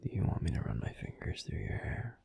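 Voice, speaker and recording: A man voicing a grumpy young werewolf boyfriend in an ASMR roleplay, talking in a soft, soothing, breathy whisper close to the mic. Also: fairly quick, dry recording, natural voice.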